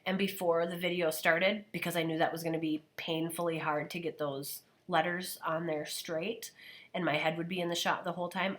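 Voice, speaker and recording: Soft-voiced woman losing her voice to a cold. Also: low voice. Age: adult, parent of a daughter. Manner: chatty, relaxed, describing steps as she goes. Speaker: scrapbooking video host. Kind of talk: casual craft voice-over narration.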